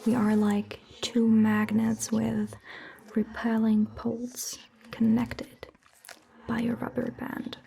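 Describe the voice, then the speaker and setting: Woman as a slowly whispering vampire doctor. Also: on the high side, completely flat delivery, heavily acted. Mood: calm, soothing. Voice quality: breathy whisper. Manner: slow, soothing, hushed, gentle. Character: calm caring female vampire doctor examining a patient. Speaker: ASMR performer. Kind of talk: whispered ASMR roleplay storytelling.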